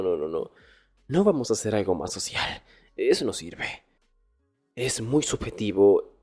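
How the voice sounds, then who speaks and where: A man playing an arrogant nerdy young student in an intimate ASMR roleplay, hushed and often dropping into whispers. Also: mid-range voice, breathy edge. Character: arrogant nerdy young male student, exasperated, teasingly dominant. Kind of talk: Spanish ASMR boyfriend roleplay, intimate one-sided dialogue.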